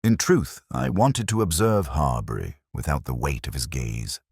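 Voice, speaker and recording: Very deep-voiced man narrating a mystery. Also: lively intonation, gravelly, a little mellow, breathy edge, no echo, clean studio sound. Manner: measured, literary, reflective. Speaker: narrator of a detective story, speaking as the inspector. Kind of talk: scripted first-person mystery narration.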